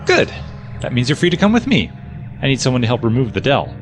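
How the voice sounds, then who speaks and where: A man's voice: mid-range voice, huge pitch swings, quick pace, soft background music. A man playing a practical, sardonic rebel technician with a dry, impatient manner in an audio drama. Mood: businesslike. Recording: echoey, long reverb.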